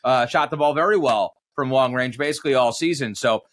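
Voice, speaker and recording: A man's voice: medium pitch, fairly quick, fairly bright tone. Man talking sports in long, winding explanations. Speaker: basketball radio play-by-play announcer, podcast guest. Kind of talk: conversational sports analysis.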